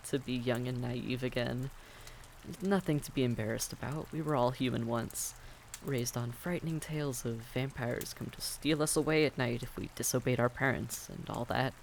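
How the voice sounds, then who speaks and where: Male actor as vampire innkeeper, gravelly fry, courteous, reassuring, fantasy audio roleplay, faint noisy ambience behind. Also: mid-range voice, natural voice.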